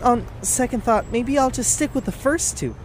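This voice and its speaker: An actor voicing an excitable, awestruck boy who talks aloud to himself in a scripted audio drama. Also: mid-range voice, moderate pace, slight background noise, close to an everyday voice. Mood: worried. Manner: exclamatory, talking aloud to himself. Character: excitable, awestruck boy, comic book fan.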